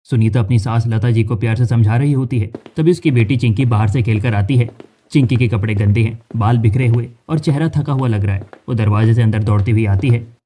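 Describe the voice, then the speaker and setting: Story narrator, male, mid-pitched, soft-edged mellow tone, scripted cartoon narration. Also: a little fast, slight background noise.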